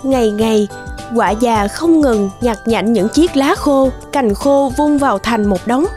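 A woman with a high voice narrates a cartoon fairy tale. Her delivery is scripted storytelling, laid over prominent music. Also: measured pace, darkish tone. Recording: music behind the voice.

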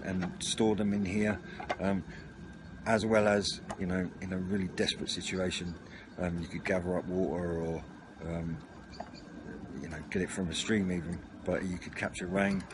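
A man giving an informal, slightly rambling and hesitant run-through to camera, recorded outdoors at a table on a camera mic. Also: deep voice. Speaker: video channel host. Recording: noisy outdoor home recording.

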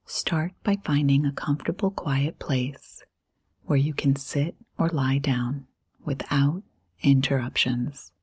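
Female meditation guide, whispery and husky, low register, soothing, short unhurried phrases, long pauses. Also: steady tone, darkish tone. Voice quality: breathy, whispery.

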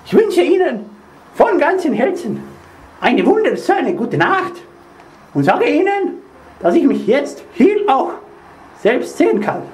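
A young man playing a silly foreign tour guide in halting, mock-formal broken German, over-explaining everything to the camera. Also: extremely high pitch, very animated, a little mellow, pitched above the performer's own voice, exaggerated voice. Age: young adult. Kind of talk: comic room tour to camera. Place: small tiled hotel bathroom. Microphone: handheld camcorder. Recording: echoey tiled bathroom, noisy camcorder.

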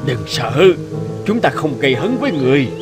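A jovial, flirtatious ogre from a cartoon fairy tale, played by a man. He is reassuring and helpful, very animated, with faint music behind. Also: medium pitch, brisk, lightly acted.

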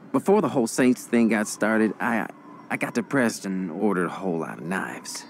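An actor playing a young crew boss in a video game, cocky but earnest, with a casual, slangy way of talking. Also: male voice, on the low side, lively intonation, unhurried, slight background noise. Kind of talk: video game cutscene acting.

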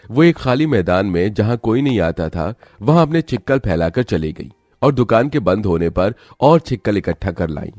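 A man's voice: on the low side, sing-song, moderate pace, few pauses, a little mellow, no room sound, clean studio sound. A man narrating a scripted moral story as a storyteller.

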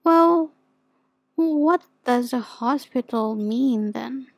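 Woman acting as a shy innocent demon girl, asmr roleplay, slow n kinda high, super close dry sound. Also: vocal fry, no room sound, heavily acted. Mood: naive, puzzled. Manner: hesitant, innocent, gently questioning. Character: soft, naive, insecure young female demon.

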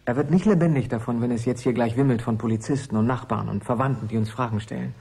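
An actor playing an urgent, secretive scientist's assistant in a radio play, earnest and persuasive, with a husky voice. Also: male voice, mid-range voice, fast, dark tone. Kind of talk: German radio play acting.

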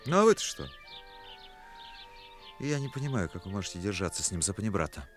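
An actor playing an awkward, fastidious, earnest young musician in a radio play, hesitant and polite. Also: male voice, lowish voice, very animated, faint music behind. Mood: disgusted. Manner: hesitant, polite, easily indignant.

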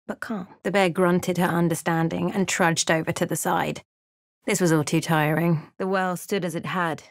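A woman's voice: fairly low pitch, no room sound. Introspective fantasy adventurer woman narrating, dry sound. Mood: measured, watchful. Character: watchful, introspective female fantasy adventurer recounting events. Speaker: video game character. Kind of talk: first-person past-tense scripted game narration.

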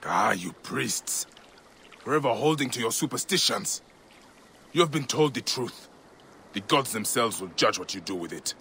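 A man's voice: medium pitch, animated, normal speed, creaky, long pauses, pushed and bright, slight background noise. An actor playing a grave, protective ancient Egyptian lawman in a video game cutscene. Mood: impatient, resolute. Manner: grave, resolute, plain-spoken. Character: stern, protective ancient Egyptian lawman warrior, grown man.

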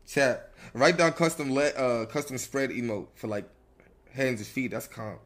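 A man's voice: medium pitch, a little slow, a bit bright. A young man streaming game role-play, bantering casually in brash, profane slang.

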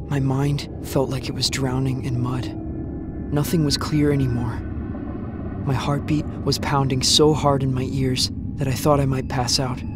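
A man's voice: mid-range voice, natural voice. A man playing a curious, frightened boy survivor in an audio drama, earnest and anxious. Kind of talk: dramatic first-person audio drama narration and dialogue.

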